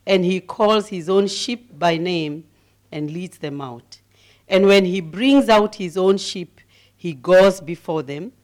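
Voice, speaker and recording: A middle-aged woman from Kenya with a deep voice, preaching as a guest speaker, humble and given to laughing, growing loud and emphatic as she reads scripture. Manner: grows loud and emphatic when reading scripture. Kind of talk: sermon.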